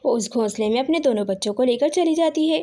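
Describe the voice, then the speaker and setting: A woman storyteller with a very high voice. She reads scripted narration for a children's animal tale. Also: animated, fast talker, dark tone.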